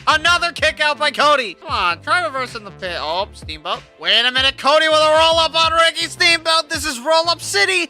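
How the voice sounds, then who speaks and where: A man doing casual, excitable commentary over a wrestling video game, swearing and laughing, swinging from mumbles to yells. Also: very high voice, brisk, hardly any pauses, faint music behind. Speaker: gaming YouTuber.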